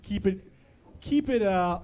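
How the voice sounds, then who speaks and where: High-voiced ranting man, crude and loud. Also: lively intonation, takes it slowly. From United States. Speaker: debater. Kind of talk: comedic mock debate rant.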